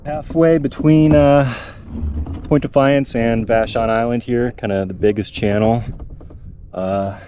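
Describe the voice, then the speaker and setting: A man in his thirties talking casually and haltingly to the camera as a solo outdoor vlogger. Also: medium pitch, steady tone, dark tone, lo-fi sound. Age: 30s. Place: outdoors on open water and at a campsite. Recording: background noise from wind and outdoors.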